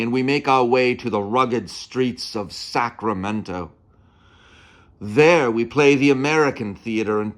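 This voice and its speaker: A man playing an earnest young nineteenth-century touring actor, telling his life in a measured, theatrical dramatic monologue. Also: medium pitch, a bit bright, lightly acted. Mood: brisk storytelling. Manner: theatrical, measured, with weighty pauses. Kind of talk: dramatic first-person monologue.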